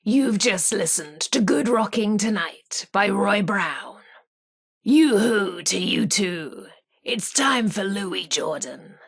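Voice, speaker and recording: A vintage radio hostess from a video game, genteel and theatrical. Her voice is breathy and husky with a creaky edge, at a medium pitch. She presents at an unhurried pace, with a flirty, teasing lilt. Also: lively intonation, full character voice. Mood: genteel, playful. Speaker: radio host. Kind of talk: old-time radio presenting with playful banter.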